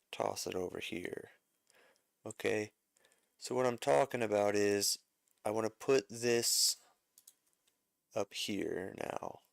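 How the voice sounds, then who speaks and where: A man walks through an arranging lesson at an easy, slow pace, musing aloud and correcting himself as he goes. His voice is low and gravelly yet clear. The sound is close and dry. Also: no echo. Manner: thinks aloud, many fillers and self-corrections. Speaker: music arranger teaching. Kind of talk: casual screen-share music lesson.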